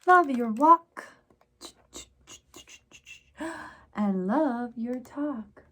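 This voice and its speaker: A woman with a high voice reads to small children at an unhurried, slow pace. Her delivery is sing-song and encouraging, exaggerated for young listeners, with noticeable background noise on the recording. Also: lively intonation, bright, forceful tone. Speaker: library children's story time host. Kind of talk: children's story time, reading a picture book aloud and coaxing young listeners.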